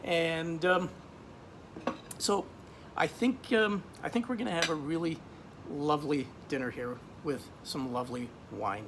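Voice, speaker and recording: Middle-aged guy from austria chatting about wine, lots of ums, slightly high voice, faint hiss. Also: a little slow. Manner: relaxed, chatty, many ums and restarts. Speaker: wine shop buyer. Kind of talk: casual wine tasting video.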